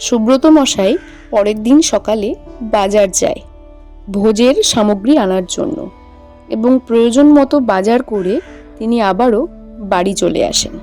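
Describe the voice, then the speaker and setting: A woman's voice: medium pitch, normal speed, long pauses, warm tone, faint music behind. A woman narrator reading a scripted children's story.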